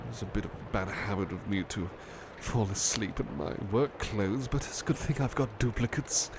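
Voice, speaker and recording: A man playing a gentle, bashful butler turned into a cuddly plush doll in an ASMR roleplay, speaking tenderly and politely with hesitant pauses. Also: lowish voice, noisy background, lightly acted. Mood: sleepy, affectionate. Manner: hesitant, polite, tender, halting with soft repetitions. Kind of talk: ASMR roleplay, soothing personal attention.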